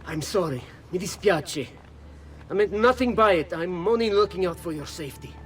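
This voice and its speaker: An actor with an Italian accent playing a scheming, wheedling government official in a video game cutscene. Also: male voice, high voice, expressive, moderate pace, slight background noise. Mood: placating, defensive. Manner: wheedling, insinuating.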